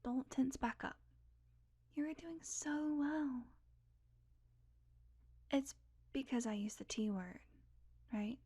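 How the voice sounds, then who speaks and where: A woman's voice: sits high, dark tone, husky, heavily acted. A woman playing a sardonic, blunt vampire who is guardedly caring and coaxing, in an intimate audio roleplay. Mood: soothing, hypnotic. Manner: sarcastic, blunt, coaxing, sighing, deliberate pauses.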